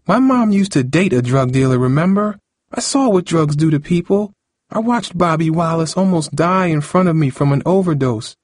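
Man voicing a hurt, indignant teenage girl, pitched up. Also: lively intonation, unhurried, warm tone, no room sound, studio quality. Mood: angry. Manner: pleading, reproachful. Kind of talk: audiobook character dialogue.

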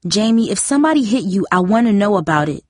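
A woman's voice: fairly high pitch, animated, moderate pace, no room sound, clean studio sound, pitched above the performer's own voice. A woman voicing a protective, persistent teenage older sister, earnest and pressing, in a story reading. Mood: alarmed, pressing.

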